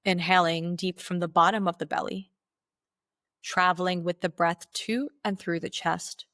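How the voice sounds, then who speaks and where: A woman with a slightly low voice guides a meditation. She gives instructions slowly and deliberately, leaving pauses between phrases. The sound is dry, with very little room in it. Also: fairly even intonation, a bit bright, no echo.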